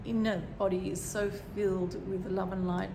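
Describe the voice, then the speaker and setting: A woman with a slightly croaky voice, gently talking through a meditation and often pausing to find her words. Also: mid-range voice, little pitch movement. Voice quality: croaky, frog in throat. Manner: gentle, rambling, searching for words. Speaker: meditation teacher. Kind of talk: guided meditation talk. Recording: echoey room with background noise.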